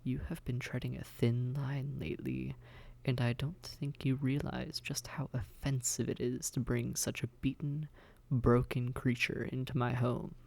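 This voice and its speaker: Haughty demon prince for audio roleplay, male actor: slow, refined, gravelly, condescending, seductive, often near a whisper. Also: mid-range voice, flat delivery, darkish tone. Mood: cold anger. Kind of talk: scripted roleplay audio drama, addressed to listener.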